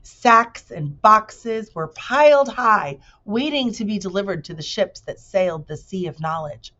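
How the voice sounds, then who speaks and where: A woman's voice: medium pitch, expressive, unhurried, sharp tone. A woman reading a children's story aloud expressively, like a teacher addressing pupils, in a dull recording.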